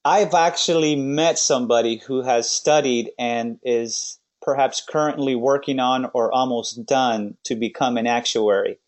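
A man's voice: mid-range voice, steady tone, unhurried, few pauses. A man hosting a faith podcast, interviewing a guest in a rambling style with many restarts. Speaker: podcast host.

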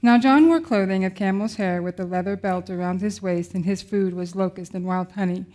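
A woman's voice: medium pitch, a little fast, dark tone. Mid-voiced woman reading scripture. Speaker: scripture reader.

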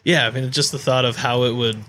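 A man's voice: mid-range voice, steady tone, brisk, fairly bright tone. An American man chatting casually as a podcast co-host, rambling and joking in an informal way.

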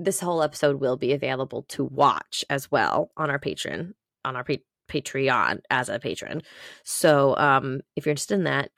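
Gushing woman podcaster, low creaky voice. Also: dry recording, studio quality. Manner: gushing, rambling, emphatic. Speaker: podcast host. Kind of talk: casual solo podcast introduction.

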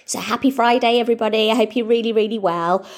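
A woman's voice: on the high side, steady tone, fast talker. A middle-aged woman hosting her own podcast, congested with a cold, talking in a chatty, upbeat, rambling way. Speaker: podcast host. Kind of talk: casual solo podcast chat.

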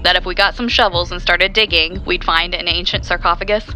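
An actress playing a curious, skeptical but sympathetic interviewer in conversational audio drama dialogue. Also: female voice, lowish voice, steady tone, a little fast, pushed and bright, soft background music, poor audio. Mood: intrigued, probing. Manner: inquisitive, conversational.

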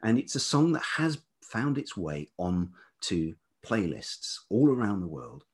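Low, husky middle-aged Englishman. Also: sing-song. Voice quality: velvety. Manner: hesitant, halting, circling back. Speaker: podcast guest, writer and musician. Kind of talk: reflective conversational storytelling.

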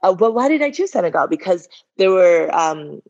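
A woman in her forties speaks as a guest in an interview, lower-pitched for her sex. She tells her story in a lively, candid way and laughs often. The audio is dull and lo-fi. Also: normal speed. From Liberia. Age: 40s.

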